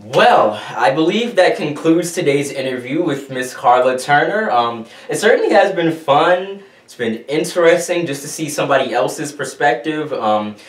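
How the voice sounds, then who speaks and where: A man's voice: on the high side, expressive, normal speed, hardly any pauses. Guy host signing off, upbeat, talks to viewers, echoey and kinda far from the mic. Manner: upbeat, addresses the viewers directly. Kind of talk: casual video interview sign-off. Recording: echoey room, mic at a distance.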